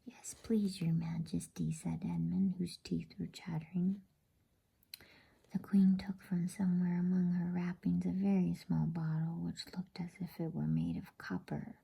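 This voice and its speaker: A woman narrating a bedtime story in ASMR style, whispering in a soft, soothing, unhurried way. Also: fairly low pitch, animated, vocal fry, slightly rough.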